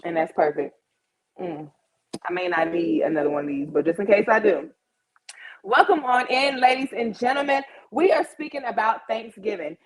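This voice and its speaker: A woman's voice: medium pitch, moderate pace. A woman of about thirty hosting a casual livestream, chatting informally and slangily straight to her audience. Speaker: host of a live chat show.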